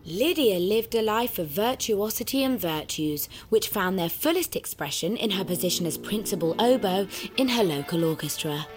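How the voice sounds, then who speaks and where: An actress voicing a coolly smug, controlling disembodied guide, precise and arch, narrating and coaching in an audio drama. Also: female voice, mid-range voice, animated, normal speed, hardly any pauses, faint music behind, natural voice. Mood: grandly narrating. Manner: precise, arch, grandiose, instructing.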